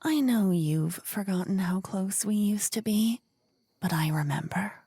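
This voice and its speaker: A woman's voice: mid-range voice, lively intonation, unhurried, mellow, slightly rough, dry recording. A woman playing a spiteful, taunting rival necromancer woman in a whispery fantasy ASMR roleplay, menacing and mocking. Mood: indignant, demanding.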